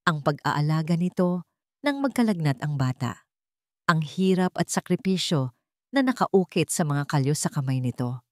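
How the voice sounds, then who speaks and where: A woman's voice: fairly low pitch, a little mellow, dry recording, clean studio sound. A woman narrating a scripted romantic drama in a dramatic storytelling style.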